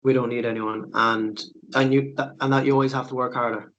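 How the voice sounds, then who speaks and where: A man reading the parts of innocent young boys in a drama table read, casual and halting. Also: medium pitch, level tone, fairly bright tone, muffled recording, lightly acted. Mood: dutiful.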